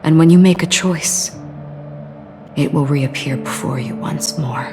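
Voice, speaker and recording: A woman playing a solemn, enigmatic wanderer in a video game, measured and melancholy in manner. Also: low-pitched, music in the background. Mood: grave, foreboding.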